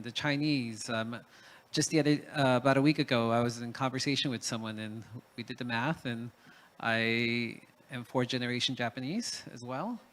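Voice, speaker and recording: A man's voice: mid-range voice, fairly bright tone. A man from Peru speaking as a panelist in a reflective talk, hesitant, with many fillers and restarts.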